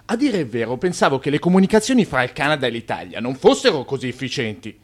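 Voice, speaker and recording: An actor playing a shrewd, slippery Italian immigrant banker in a radio drama, with a theatrical, self-justifying manner. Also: male voice, medium pitch, sing-song, fast talker. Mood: hurried, rueful.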